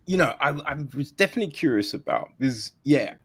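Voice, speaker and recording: A man's voice: mid-range voice, animated, a little fast, fairly bright tone, slightly rough. A man hosting a podcast, asking casual, curious questions with lots of "like" and "you know". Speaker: podcast host interviewer.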